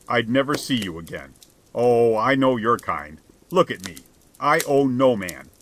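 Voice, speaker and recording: Guy doing a big gruff self-righteous bully for an audiobook, snappy and preachy, noisy recording. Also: mid-range voice, sharp tone. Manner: blustering, snapping, humorless. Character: large, prosperous, bullying, self-righteous married householder, gruff and resentful. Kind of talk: dramatized audiobook character.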